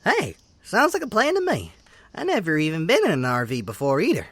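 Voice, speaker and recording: A woman's voice: lowish voice, huge pitch swings, fairly quick, a bit bright, slightly rough, full character voice. A woman voicing a friendly, trusting female animal companion who talks in a folksy, easygoing, upbeat way in a fan comic dub. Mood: cheerful.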